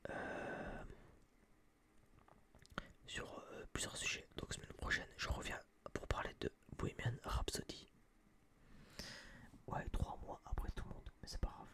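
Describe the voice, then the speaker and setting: A young man whispering a casual, slangy, rambling review in breathy ASMR style, close to a binaural microphone. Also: a little slow, long pauses. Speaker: ASMR video creator.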